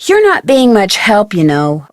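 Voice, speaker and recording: A young female sleuth in a video game, earnest and questioning. Her voice sits fairly high and moves freely up and down, closely and dryly recorded. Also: huge pitch swings, measured pace, no room sound, studio quality. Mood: puzzled, impatient. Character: inquisitive young female amateur detective. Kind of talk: natural video game dialogue acting.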